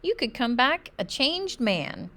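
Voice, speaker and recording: A kindly outdoorsy father in a children's storybook, read by a woman. He is proud and gently persuasive, with animated, swooping intonation. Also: high voice, takes it slowly, pitched above the performer's own voice, heavily acted. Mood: encouraging.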